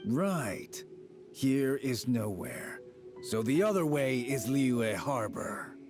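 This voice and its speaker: An actor playing a shifty petty bandit, sly and wheedling, chuckling between lines, over game music. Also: male voice, mid-range voice, lively intonation, takes it slowly. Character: shifty male treasure hoarder thug, sly and cowardly. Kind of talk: video game voice acting. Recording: game soundtrack under the voice.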